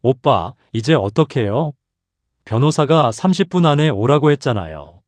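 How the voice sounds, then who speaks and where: A man's voice: medium pitch, sing-song, moderate pace, dry recording, lightly acted. A man voicing a young woman who pleads with and urges on her distraught older brother in a story reading. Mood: urging.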